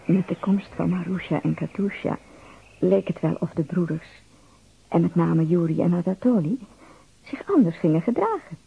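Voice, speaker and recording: An actor narrating an adventure tale for a radio play in a husky, creaky voice. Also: male voice, high-pitched, expressive, moderate pace, full character voice. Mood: measured, suspenseful. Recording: thin phone-like band-limited sound.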